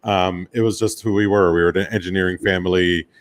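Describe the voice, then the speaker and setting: A man's voice: sits low, steady tone, a little fast. An American man from Miami giving an informal presentation about his own career, speaking in a casual, loosely phrased, conversational way. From Miami, United States. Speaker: guest speaker, commercial photographer and director.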